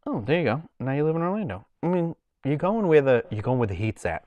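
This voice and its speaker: A man's voice: fairly high pitch, sing-song, fast, darkish tone, no room sound, muffled recording. A man with a Boston accent, hosting a casual livestream from home and chatting in a rambling way while he reads the chat. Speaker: livestream host.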